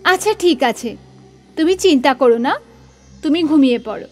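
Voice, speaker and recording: A woman's voice: sits high, animated, moderate pace, a little hiss or hum. A woman playing an anxious, soothing young mother of a little boy in a horror cartoon story. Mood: caring, anxious.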